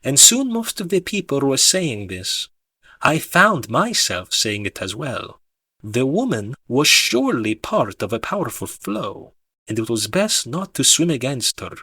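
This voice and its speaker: Male narrator for literary fiction: measured, dramatic, widely varied intonation, dry studio-like sound. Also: medium pitch, sing-song, bright, forceful tone, slightly rough, dry recording. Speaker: audiobook narrator. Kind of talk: expressive scripted audiobook narration.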